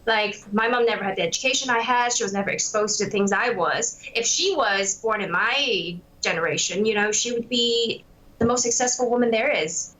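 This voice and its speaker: A woman's voice: fairly high pitch, fairly quick, fairly bright tone, rough recording. A woman in her late twenties, raised in Hong Kong and Canada, talking reflectively in a candid interview with many fillers. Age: 20s.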